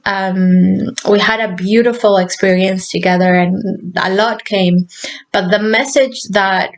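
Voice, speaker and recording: A woman from Argentina telling a personal spiritual story in an unscripted, meandering way, pausing often with fillers. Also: mid-range voice. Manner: slow, meandering, soft-spoken with many fillers.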